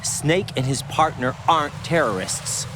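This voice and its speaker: A man's voice: fairly high pitch, lively intonation, a little slow, background noise. A man playing an earnest, idealistic young soldier in a video game, speaking with searching hesitation. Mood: serious, questioning. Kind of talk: video game voice acting, radio conversation.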